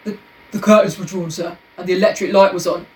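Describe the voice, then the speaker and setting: A woman's voice: lowish voice, steady tone, fast talker, reverberant, recorded from a distance, natural voice, poor audio. A woman voicing a deferential male butler, respectful and factual in manner.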